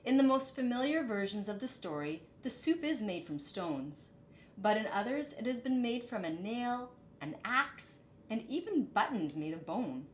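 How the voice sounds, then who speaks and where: A woman reading a children's story aloud with expressive, dramatic phrasing. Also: normal speed. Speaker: storybook reader. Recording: echoey room, faint low rumble.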